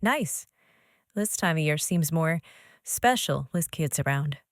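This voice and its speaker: An actress playing a gentle, uncertain young wife. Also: female voice, low voice, animated, slow pace, dry recording, studio quality, heavily acted. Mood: wistful.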